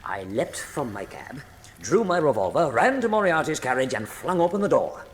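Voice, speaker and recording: An actor playing an earnest, loyal, excitable Victorian doctor in a radio drama, with a flustered, proper manner. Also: male voice, medium pitch, normal speed, close to an everyday voice. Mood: earnest, dramatic. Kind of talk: full-cast radio drama acting. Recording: reverberant hall sound.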